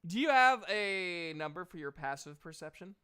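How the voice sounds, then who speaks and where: A man running a tabletop game as game master, narrating deliberately and haltingly and chatting with players. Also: high voice, expressive, pushed and bright, smooth.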